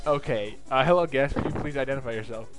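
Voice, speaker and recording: A man's voice: mid-range voice, quick pace, over music. A young man hosting a casual podcast, teasing his guest in a playful way with lots of fillers. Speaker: podcast host. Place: student studio or room.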